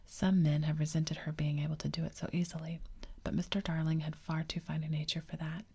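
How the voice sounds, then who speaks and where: A woman narrating a classic storybook in a steady whisper, like an ASMR reading. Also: deep voice, fairly quick, creaky, breathy edge. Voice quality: whispering throughout.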